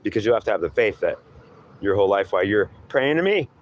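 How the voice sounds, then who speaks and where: A man's voice: on the low side, very animated, fast. Man playing a scornful, menacing horned devil. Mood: goading, bleak. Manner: scornful, goading, repetitive lecturing. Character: taunting, menacing horned devil claiming to be God.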